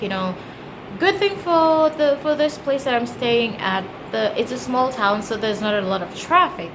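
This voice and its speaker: Kenyan woman vlogging, high voice, noisy recording. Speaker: travel vlogger. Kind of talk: casual chat to camera. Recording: clearly noisy background ambience.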